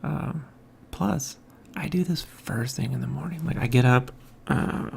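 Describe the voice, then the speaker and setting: A man hosting his own podcast, talking casually and softly in a quiet, mumbling way from a home room with an overhead mic. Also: on the high side, unhurried, gravelly, background noise. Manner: quiet, soft-spoken, mumbling. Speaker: podcast host. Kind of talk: casual morning monologue. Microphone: hanging overhead mic.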